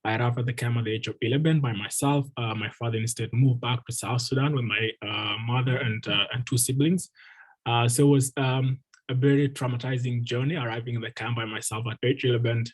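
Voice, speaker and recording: Earnest young man telling his story, husky. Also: medium pitch, fairly even intonation, brisk, rough recording. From Ethiopia. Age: 20s. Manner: warm, earnest storytelling with many ums and uhs. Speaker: guest speaker, refugee advocate. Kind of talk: personal story told at an online meeting.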